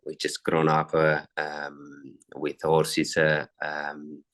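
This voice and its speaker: A man's voice: a bass voice, completely flat delivery, takes it slowly, a bit bright. A middle-aged man from Italy with an Italian accent, a racehorse trainer answering interview questions with many fillers and restarts.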